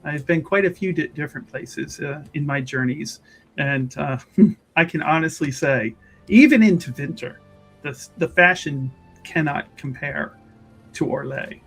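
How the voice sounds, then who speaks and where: A man's voice: fairly high pitch, a little slow, creaky, a bit bright, breathy edge, faint music behind, exaggerated voice. A man voicing a polite, fussy, well-traveled Chantry woman investigator in a tabletop game, speaking formally and hesitantly with repeated words. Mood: chatty, admiring. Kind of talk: tabletop roleplay dialogue.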